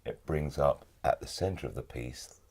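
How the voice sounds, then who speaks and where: A British man talking as an interview guest, with measured, thoughtful and emphatic phrasing. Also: very deep voice, darkish tone, husky. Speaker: guest, actor and audiobook narrator. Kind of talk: casual interview chat.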